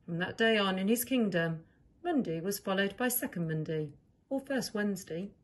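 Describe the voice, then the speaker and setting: A woman narrating a children's storybook as a reader, with expressive delivery. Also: mid-range voice, normal speed.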